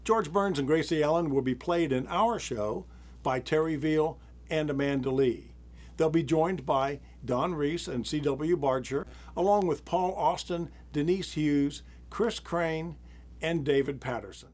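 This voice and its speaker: A man hosting a live theater broadcast, introducing the next act in a relaxed, informative way. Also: a little high, measured pace. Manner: relaxed, informative, small laughs. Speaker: theater host. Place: studio.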